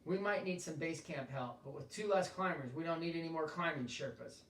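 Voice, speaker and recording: A man's voice: fairly high pitch, brisk, fairly bright tone, a little hiss or hum. A man reading aloud voices a blunt, practical male mountain guide who speaks matter-of-factly. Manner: matter-of-fact. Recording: echoey room, voice from a distance.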